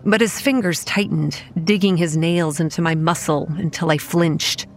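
An actress playing a wary, anxious young campground manager who narrates a horror audio drama in the first person. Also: female voice, lowish voice, moderate pace, soft background music, close to an everyday voice. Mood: wary narration.

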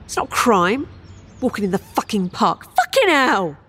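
A woman's voice: mid-range voice, big pitch swings, normal speed, a bit bright, slightly rough. An actress playing a hostile, sweary, defiant woman in an audio drama, brash and confrontational.

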